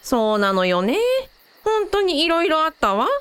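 An affectionate mother, played by a woman, speaking in a high voice that rises and falls a great deal. She is gentle, expressive and perceptive, in the manner of an audio drama. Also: a little slow, slight background noise, exaggerated voice.

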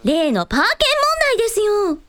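A woman playing a polite, sweet, girlish young female character in a comic radio drama, courteous and gently chiding in manner. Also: very high-pitched, very animated, fairly quick. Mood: placating, chiding.

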